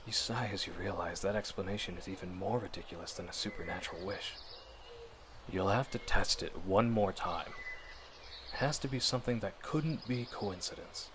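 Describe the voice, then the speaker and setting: A man giving a dramatic, deliberate, suspenseful narration of a scripted horror story in the manner of old radio drama, addressing the listener directly. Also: sits low, background noise.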